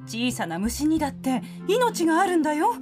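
An actress playing a gentle, loving, worried peasant mother in a radio drama. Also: high-pitched, very animated, normal speed. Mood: gently scolding. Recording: music behind the voice.

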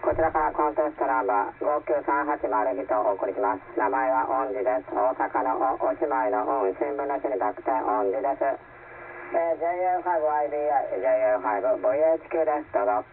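A man's voice: medium pitch, quick pace, vocal fry. A man in a polite, procedural ham radio contest exchange, heard over a shortwave transmission. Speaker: amateur radio operator. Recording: tinny, distant radio with faint noise.

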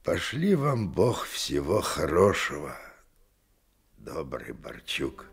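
An older man playing a kindly, secretive elderly convict in a radio drama, with a gravelly, husky voice. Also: a bass voice, wildly swooping pitch, slow pace, exaggerated voice. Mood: gentle, confiding.

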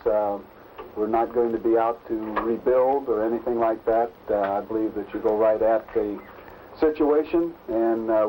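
A man's voice: on the low side, dark tone. An American man speaking as a football coach, informally and with frequent hesitations. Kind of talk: informal statement to camera. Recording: noisy 1970s film soundtrack.

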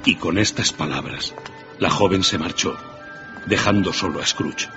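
A man's voice: sits low, unhurried, dull audio. A man narrating a classic tale as a dramatic scripted radio story. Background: music.

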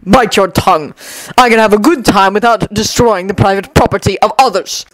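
A woman's voice: medium pitch, expressive, moderate pace, dry recording, close to an everyday voice, rough recording. A woman voicing a haughty, bookish teenage boy who speaks in a pompous, theatrical, disdainful manner.

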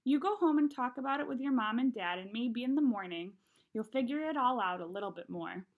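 A woman voicing a stern but kindly male school principal in a storybook, measured and forgiving. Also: on the high side, lively intonation, narrow, tinny audio. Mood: patient, kindly.

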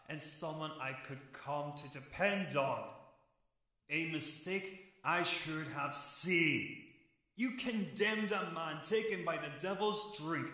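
An actor playing a stern, sorrowfully righteous archangel in an audio drama, with grave, declamatory delivery. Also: male voice, on the high side, sharp tone, heavily acted. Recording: heavily echoing voice.

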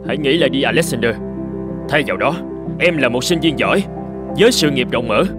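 A man's voice: medium pitch, animated, brisk, music in the background, close to an everyday voice. A man playing a stern, worldly older-brother surgeon. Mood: exasperated. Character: stern, worldly middle-aged male surgeon, practical elder brother. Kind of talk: cartoon dubbing.

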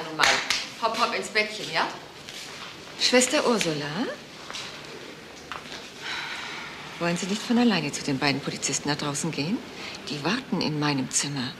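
A dismissive head nurse, played by an actress in a crime radio drama. She is brisk and dry, slightly low in pitch, with lively swings and clear noise behind her. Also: close to an everyday voice. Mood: brisk bossy. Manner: dry, bossy.

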